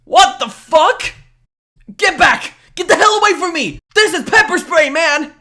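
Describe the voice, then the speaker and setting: A man's voice: medium pitch, moderate pace, fairly bright tone, natural voice. A man playing an earnest, insecure teenage amateur superhero in a comic dub, speaking as narrator and in dialogue. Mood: panicked. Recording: background music under the voice.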